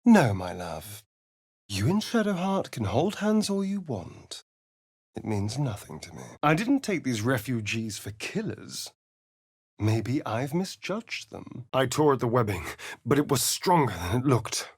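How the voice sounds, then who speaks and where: An actor playing a sardonic, preening vampire elf rogue in a video game, with an arch, theatrical, drawling delivery. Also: male voice, medium pitch, very animated, unhurried, husky, dry recording, clean studio sound, exaggerated voice. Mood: haughty, teasing, then hushed narrating. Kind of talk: video game character dialogue.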